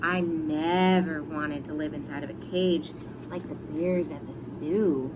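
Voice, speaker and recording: A woman's voice: deep voice, fairly even intonation, slow pace, background noise, voice pushed down. A woman voicing a small, curious, innocent boy teddy bear in a wondering storybook manner. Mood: puzzled, earnest. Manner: wide-eyed, wondering.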